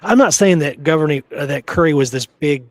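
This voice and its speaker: A man's voice: on the high side, expressive, brisk, no room sound. A man hosting a podcast, talking casually about history in an emphatic, opinionated, rambling storytelling way. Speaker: podcast host.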